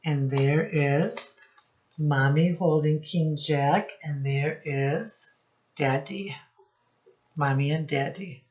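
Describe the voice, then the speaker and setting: A woman's voice: low-pitched, slow, darkish tone, lo-fi sound. A woman speaking as a teacher in a children's read-aloud, explaining words and putting questions to her young listeners. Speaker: teacher reading storybook to children.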